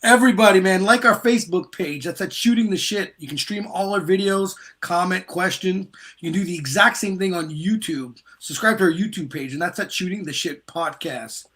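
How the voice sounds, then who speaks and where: A male podcast host with a notably high voice and a bright, forceful tone. He chats casually and informally, leaning on slang and repeating himself as he goes. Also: brisk, few pauses. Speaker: podcast host interviewing a music artist. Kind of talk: casual, chatty podcast hosting.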